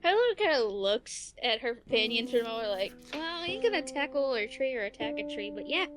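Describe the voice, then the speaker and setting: Female roleplay player, casual; high pitch, brisk delivery, clear music underneath. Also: big pitch swings, rough recording.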